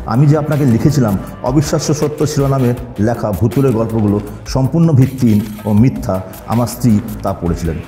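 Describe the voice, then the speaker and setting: A scared, apologetic gentleman, acted by a male narrator pleads humbly for forgiveness. The voice is dark and mellow, with echo around it, as in a horror audio story. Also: mid-range voice, fairly quick, soft background music, lightly acted. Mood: remorseful, pleading. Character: frightened apologetic man begging forgiveness.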